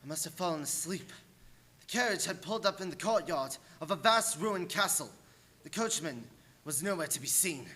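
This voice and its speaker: An actor playing an anxious English gentleman held captive, narrating his diary with earnest, suspenseful theatricality in a radio play. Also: on the high side, expressive, normal speed. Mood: uneasy narration. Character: Jonathan Harker, anxious English gentleman, dread-filled captive diarist. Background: music.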